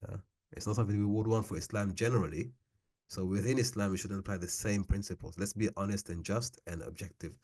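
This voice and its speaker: A man's voice: on the low side, fairly even intonation, fast talker, darkish tone. A man leading an informal book club discussion like a teacher, speaking emphatically and repeating phrases for stress.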